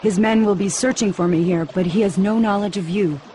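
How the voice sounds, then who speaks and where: A woman's voice: medium pitch, fairly quick, dark tone, slight background noise, close to an everyday voice. An actress playing a regal queen in a video game, gracious and commanding with formal, stately speech. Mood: solemn, commanding. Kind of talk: video game cutscene acting.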